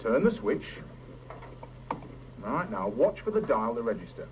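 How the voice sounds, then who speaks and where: A man's voice: medium pitch, big pitch swings, a little slow. An actor playing a breezy, cocky working man in a 1970s radio drama, colloquial and a bit patronising. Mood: cocky, reassuring. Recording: noisy mono 1975 radio cassette recording.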